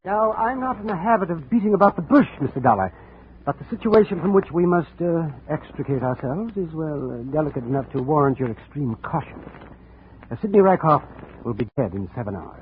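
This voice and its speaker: Man acting fussy executive, highish animated voice, pompous, hesitant, old radio drama, tinny sound. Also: fairly quick, a little hiss or hum, lightly acted. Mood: anxious, pompous. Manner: hesitant, formal, long-winded. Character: fussy, pompous, nervous male insurance executive.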